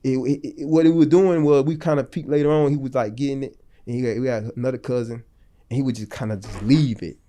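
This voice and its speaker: A man's voice: mid-range voice, steady tone, quick pace, warm tone. A man in his late thirties from North Florida, a podcast guest telling long stories in a loose, slangy, rambling way. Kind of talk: casual storytelling interview.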